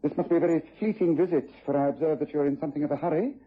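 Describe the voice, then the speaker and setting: An assured English gentleman detective for vintage radio. He talks quickly and incisively in a very husky voice, over a thin, narrow-band recording. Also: a little high. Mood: courteous. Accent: English Received Pronunciation. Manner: precise, incisive, formal. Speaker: actor playing lead detective in radio drama. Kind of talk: BBC radio drama acting. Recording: muffled tinny old radio recording.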